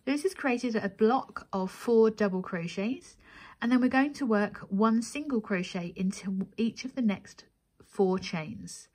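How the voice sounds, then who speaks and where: A woman's voice: a little high, a little slow, a little mellow. A woman narrating a step-by-step craft tutorial as the instructor, methodical and counting steps aloud.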